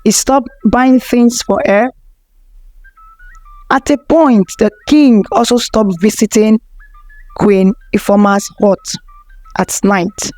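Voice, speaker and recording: A woman's voice: medium pitch, takes it slowly, long pauses, a little mellow, no room sound, faint music behind, rough recording. A woman narrating a folktale from a script as a storyteller.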